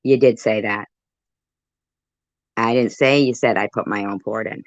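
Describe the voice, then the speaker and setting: A woman's voice: rumbling low, steady tone, unhurried, no room sound. Woman host, angry rebuttals, swears, muffled dry lo-fi audio. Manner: combative, swearing, cuts in to rebut. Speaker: channel host reacting to a clip. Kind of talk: heated commentary.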